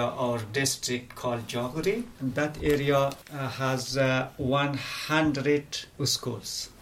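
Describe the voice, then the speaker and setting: Gravelly man speaking halting English. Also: medium pitch. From Afghanistan. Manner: halting non-native English with many pauses and fillers. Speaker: guest. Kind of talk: interview, telling his life story. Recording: faint background noise.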